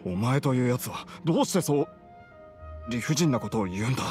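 A man acts a stoic young official in an anime game. His medium-pitched voice is terse and disapproving, and music plays clearly behind it. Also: animated, a little fast, natural voice. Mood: stiff, annoyed. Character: stiff, stoic young male civil servant. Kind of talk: anime video game dialogue.

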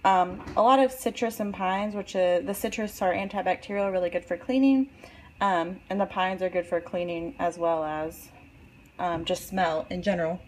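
A woman's voice: lowish voice, normal speed, slight background noise. A young woman in her twenties casually demonstrating a recipe in her home kitchen, chatting with many ums while she works. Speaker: vlogger.

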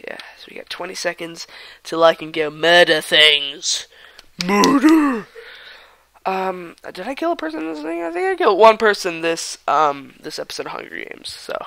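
A young man talks over his gameplay in a loose, rambling way, jumping between excited outbursts and mumbled or whispered asides. His voice sits a little high, bright and edgy, with a gravelly fry left over from a cold. The sound is close and dry, with no room around it. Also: normal speed, dry recording. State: raspy from a cold. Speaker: gaming channel host. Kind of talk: casual gameplay commentary.

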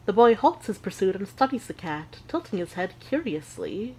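A woman playing a witch who narrates an audio drama with measured, deliberate storytelling. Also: mid-range voice, big pitch swings, warm tone, a little hiss or hum, natural voice, poor audio.

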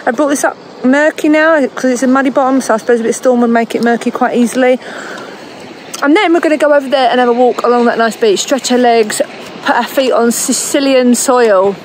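A woman, chatting to camera on a small sailboat, excitable and talkative. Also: on the high side, fairly quick. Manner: chatty, excitable, swears when thrilled. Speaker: sailing vlogger. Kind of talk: casual vlog chat to camera. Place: small sailboat and dinghy outdoors on the water. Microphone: handheld phone at arm's length. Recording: loud wind and water noise.

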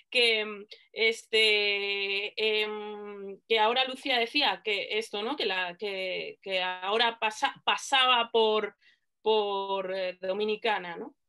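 A woman in her thirties, a panel guest, talking animatedly on a video call from a dim room at home, with some fillers and restarts. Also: medium pitch. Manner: animated, gestures while talking, halting with fillers. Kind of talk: casual chat on a video call. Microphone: laptop webcam on a video call.

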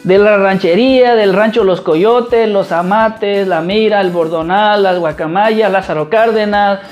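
Mexican man, informal halting vlogger. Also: sits high, dull audio. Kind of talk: casual chat answering viewer questions.

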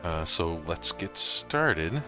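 A man narrating a casual gaming software tutorial, explaining things informally step by step. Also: sits low, very animated, takes it slowly, music in the background. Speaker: host of a gaming tutorial series. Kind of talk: casual software tutorial narration over slides and screen capture.

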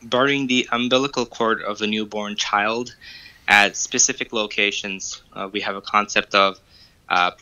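A man's voice: lowish voice, steady tone, moderate pace, pushed and bright, clean tone. A man from Bangladesh calling in to ask a question, polite and hesitant with many fillers, heard over a muffled call line. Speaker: caller. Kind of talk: phone-in question.

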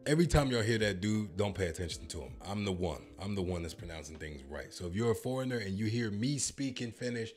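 A man's voice: lowish voice, lively intonation, quick pace, few pauses, darkish tone, soft background music. A man in his thirties with an American accent, joking and boasting as he reacts to a language-learning app, speaking into a handheld mic in a home studio. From United States. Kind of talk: playful reaction commentary. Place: home studio with purple lighting. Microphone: handheld microphone.

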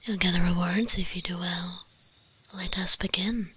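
A woman voicing an imperious, teasing vampire queen in a breathy ASMR roleplay whisper, commanding, condescending and seductive. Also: on the low side, steady tone, measured pace, sharp tone, a little hiss or hum, rough recording.

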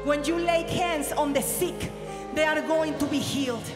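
A woman's voice: high-pitched, measured pace. A woman pastor, preaching fervently into a handheld mic on a big church stage. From Mexico. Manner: shouting, fervent. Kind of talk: charismatic preaching. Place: large arena church stage. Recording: echoey hall with loud music.

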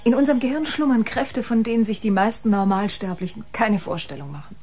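A woman's voice: on the high side, brisk, darkish tone, husky. A woman playing a proud, intense musician aboard a spaceship in a radio play, speaking emphatically. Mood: explaining proudly. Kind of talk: German radio play acting.

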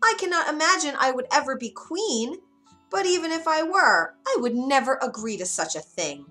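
A woman's voice: high-pitched, very animated, normal speed, sharp tone, faint music behind, pitched above the performer's own voice, heavily acted. A woman voicing a frightened young miller's daughter who pleads anxiously.

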